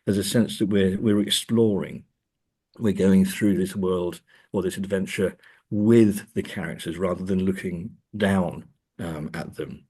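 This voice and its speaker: Low-pitched, husky man, halting academic talker. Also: steady tone, a little mellow. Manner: hesitant, halting, repeats words as he thinks. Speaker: academic and author, interview guest. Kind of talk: discursive interview conversation.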